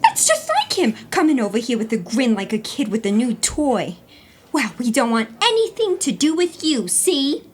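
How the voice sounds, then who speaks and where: A woman's voice: high-pitched, huge pitch swings, normal speed, slight background noise. A woman playing a touchy, jealous newlywed wife in a 1910s New York comedy audio play, talking in a dramatic, slangy way. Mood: indignant, snappy. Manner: dramatic, slangy, easily indignant.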